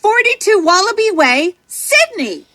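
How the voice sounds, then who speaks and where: A woman's voice: very high-pitched, very animated, a little slow, bright, forceful tone, no room sound, studio quality, voice pushed up, full character voice. A woman voicing a kind, eager little female blue fish who exclaims helpfully in a children's storybook. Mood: excited.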